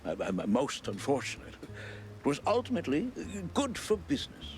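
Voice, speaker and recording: An actor playing a nervous, glad-handing cartoon politician who stammers and chuckles, in a 1930s-style game. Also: male voice, on the high side, huge pitch swings, normal speed, a little hiss or hum. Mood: jovial, nervous. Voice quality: creaky.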